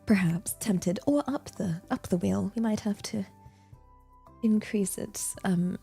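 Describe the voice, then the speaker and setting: A woman with an exaggerated posh British accent, chatting casually to livestream viewers in a playfully prim, flustered manner with a breathy voice. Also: medium pitch, takes it slowly, mellow, soft background music. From Britain. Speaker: livestream host.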